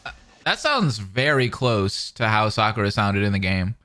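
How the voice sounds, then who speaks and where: A man reading narration dramatically and adding excited commentary as a reaction streamer. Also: lowish voice, moderate pace. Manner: animated, dramatic. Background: faint music.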